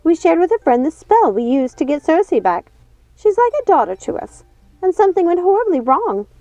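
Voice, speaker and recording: A woman's voice: very high voice, very animated, normal speed, mellow, no room sound, voice pushed up. A woman voicing a frantic, wheedling witch sister in a storybook, pleading shrilly and repetitively. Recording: dull lo-fi sound, music underneath.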